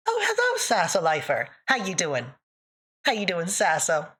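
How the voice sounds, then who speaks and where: Woman, from northern Canada, thick accent, lively bouncing pitch, joking livestream chat. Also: slightly rough. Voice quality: thick put-on-sounding accent. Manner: joking, chatty, laughs often. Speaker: livestream host. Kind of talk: casual livestream chat answering viewers.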